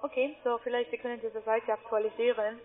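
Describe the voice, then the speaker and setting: High-voiced foreign-accented woman on the phone. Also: level tone, fairly quick. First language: not German. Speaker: call centre agent. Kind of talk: customer phone call, instructing. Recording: tinny narrow-band phone line.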